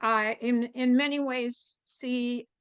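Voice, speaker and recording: A woman giving an unscripted comment on an audio-only online call, halting as she searches for words. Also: a little high, fairly even intonation, clear, resonant tone, dry recording. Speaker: audience participant.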